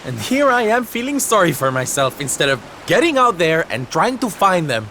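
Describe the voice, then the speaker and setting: A man's voice: high voice, big pitch swings, normal speed, sharp tone, slight background noise, heavily acted. An actor voicing a flamboyant theatrical male entertainer in a video game cutscene, dramatic and fond of pet names. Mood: resolved. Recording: game audio over ocean waves. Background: surf and waves.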